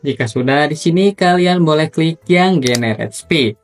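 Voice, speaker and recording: A man's voice: a little high, expressive, moderate pace. A man narrating a casual, scripted tutorial as its host. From Indonesia, Sunda region. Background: background music.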